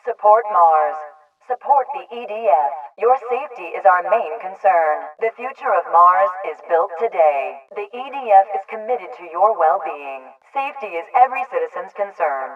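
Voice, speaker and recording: An actress playing a calm, stern announcer for an authoritarian military colony in a video game, reading propaganda broadcasts in a formal, measured, impersonal manner through a loudspeaker filter. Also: female voice, lowish voice. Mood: measured, promotional. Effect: loudspeaker radio filter. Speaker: public address announcer. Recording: muffled, band-limited distant loudspeaker broadcast.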